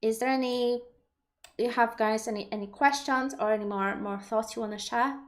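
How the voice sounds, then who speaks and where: A woman's voice: a little high, steady tone, normal speed. Woman, Polish accent, non-native English; livestream host, reflective and explanatory, computer mic. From Poland. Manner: thoughtful, explanatory, reads viewer questions aloud. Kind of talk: live Q&A talk.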